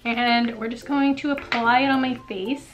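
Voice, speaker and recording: A woman's voice: on the high side, steady tone, normal speed, faint music behind, low-quality recording. A young woman in her twenties chatting through a makeup routine in her bedroom, bubbly and full of fillers and small laughs. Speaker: beauty vlogger. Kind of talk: casual makeup tutorial chat. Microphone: camera on a tripod at arm's length.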